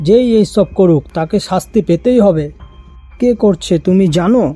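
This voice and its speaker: A man playing a high-pitched, stern young gentleman. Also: moderate pace, dry recording, faint music behind. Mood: stern, alarmed. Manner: firm, questioning. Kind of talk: animated cartoon horror story dialogue.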